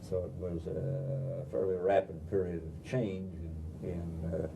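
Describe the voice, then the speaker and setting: A man's voice: flat delivery, unhurried, vocal fry, noisy background. An older man from Washington state explaining past events as a panelist, unscripted. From Washington state, USA. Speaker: panelist, former society president. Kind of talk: unscripted recollection. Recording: rumbly 1990s video recording.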